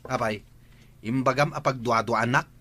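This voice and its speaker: A man's voice: medium pitch, steady tone, moderate pace, sharp tone. A man playing a cheeky, flirtatious household driver in a radio comedy-drama, sly in his delivery. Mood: sly, teasing.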